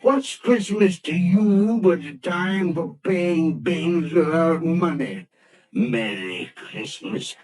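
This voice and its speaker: A bitter old miser in a vintage radio play. He snarls and sneers, his fairly high voice leaping around in pitch as he barks at everyone. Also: lively intonation, takes it slowly, a crowd in the background, poor audio. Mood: scornful. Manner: snarling, scornful, barking outbursts. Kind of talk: 1940s radio acting.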